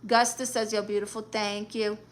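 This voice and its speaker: A woman's voice: medium pitch, level tone, normal speed. A woman with a New York accent hosting a live stream, reading viewer comments aloud in a brash, sassy, joking way. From Brooklyn. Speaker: livestream host.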